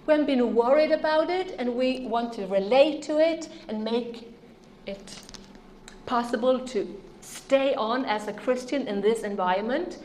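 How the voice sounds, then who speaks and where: Slow-spoken Norwegian woman lecturing. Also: fairly high pitch, a little mellow, a little hiss or hum. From Norway. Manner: earnest, emphatic, asks the audience rhetorical questions. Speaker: speaker. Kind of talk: conference lecture.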